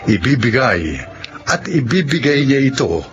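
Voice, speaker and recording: A man hosting a radio drama, announcing in a measured, theatrical and formal manner. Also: medium pitch, very animated. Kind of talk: dramatic radio narration and credit reading. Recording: dull radio sound under loud music.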